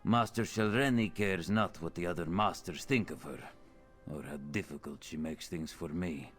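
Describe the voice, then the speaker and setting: A man voices a wary male official of a wizard's tower in a fantasy game. His voice is deep, his delivery formal and drily sardonic, over faint music. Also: moderate pace, close to an everyday voice. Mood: dry, guarded. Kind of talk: scripted fantasy video game dialogue.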